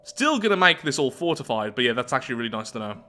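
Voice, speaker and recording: A man's voice: medium pitch, very animated, fast, bright, forceful tone, a little hiss or hum. A man hosting a strategy game roleplay, chatting casually and poking fun at himself as he goes. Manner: chatty, self-deprecating. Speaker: gaming video host. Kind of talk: casual game commentary with read-aloud storytelling. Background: faint music.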